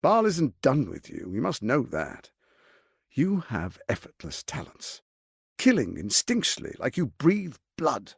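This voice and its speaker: An actor playing a suave, scheming tyrant archduke in a video game, speaking in a pompous, silky, menacing and theatrical manner. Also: male voice, medium pitch, wildly swooping pitch, unhurried, gravelly, husky, no echo, clean studio sound, full character voice. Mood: urgent, persuasive. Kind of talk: video game character acting.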